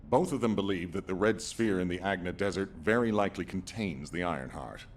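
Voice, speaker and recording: An actor playing a grave, pragmatic military commander in scripted game dialogue. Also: male voice, deep voice, brisk, a little hiss or hum, lightly acted. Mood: grave, resolute.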